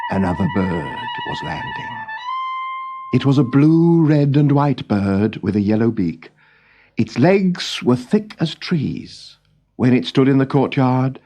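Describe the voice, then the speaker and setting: Slow, expressive male storyteller. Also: mid-range voice. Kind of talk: scripted children's story narration.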